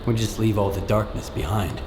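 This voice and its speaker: An actor playing a steadfast, quietly sure male wanderer in a video game, terse and assured. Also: lowish voice, a little fast, music in the background, close to an everyday voice. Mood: calm certainty. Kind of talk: video game cutscene acting.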